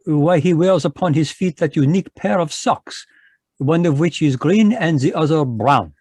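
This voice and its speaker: Fussy Belgian detective, polite, French-accented, slightly high, close and dry. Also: male voice, normal speed, a little mellow, husky, no room sound. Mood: polite, shrewd. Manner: precise, polite, sprinkles French words. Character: fussy Belgian detective, middle-aged man. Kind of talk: radio drama read live over video call. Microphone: home microphone on a video call.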